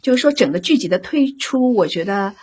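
A woman speaking as a drama director in an unscripted interview, halting as she searches for words. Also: mid-range voice, expressive, darkish tone, slightly rough.

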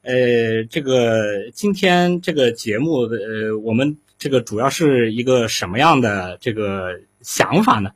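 A man co-hosting a live interview, speaking in halting, repetitive phrases. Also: medium pitch, lively intonation, breathy edge. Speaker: co-host interviewing guests. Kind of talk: live broadcast interview chat.

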